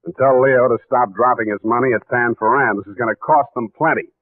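An actor playing a dry, sardonic, wisecracking private detective in a 1940s radio drama. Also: male voice, medium pitch, steady tone, a little fast, no room sound, faint music behind, lightly acted. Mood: wry, weary. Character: wry, hard-boiled male private detective. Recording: tinny 1940s radio recording.

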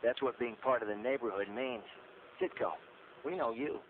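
A man's voice: mid-range voice, moderate pace. Male radio ad announcer, tinny sound. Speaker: commercial voice-over announcer. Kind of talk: scripted radio advertisement. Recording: tinny, noisy radio broadcast.

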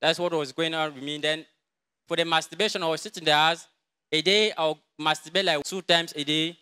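A young man with a Cameroonian English accent gives a quiet, halting testimony in a large crowded church, speaking into a handheld mic someone else holds. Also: fairly high pitch, fairly even intonation, clean tone. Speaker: church member giving testimony. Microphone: handheld mic held by another person.

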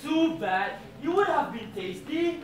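A man playing a fierce, boastful man-eating strongman husband in student readers theatre, exclamatory and prone to shouting. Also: extremely high pitch, sing-song, a little slow, exaggerated voice. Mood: menacing. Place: large lecture hall, far camera in audience. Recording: echoey lecture hall from far, audience noise.

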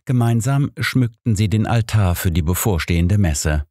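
Male narrator, low voice, scripted detective audiobook, dry close recording. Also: expressive, normal speed, mellow, dry recording.

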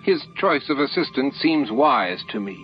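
A man's voice: mid-range voice, sing-song, unhurried, dull audio, soft background music, pitched above the performer's own voice. A man voicing a crafty, genial old male alien elder with a courtly way of talking, in an audiobook. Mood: persuasive.

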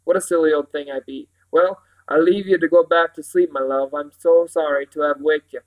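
A man acts out an older village housekeeper from a storybook. She is fond, cheerful and bustling, and she chatters away in homely rustic turns of phrase, pitched fairly high for a male voice. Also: steady tone, moderate pace, natural voice, poor audio. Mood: fond, apologetic.

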